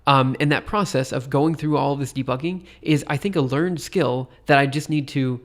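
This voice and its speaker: A man's voice: mid-range voice, fairly even intonation, normal speed. A tired man with a stuffy nose thinks aloud while live coding on a stream, rambling hesitantly and poking fun at himself. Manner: rambling, hesitant, self-deprecating.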